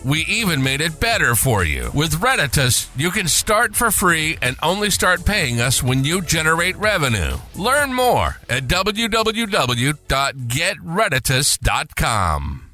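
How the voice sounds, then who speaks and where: A man with a fairly high, bright voice reads a scripted promo spot. His pitch moves in a lively way as he makes the pitch over music. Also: a little slow, few pauses. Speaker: ad announcer.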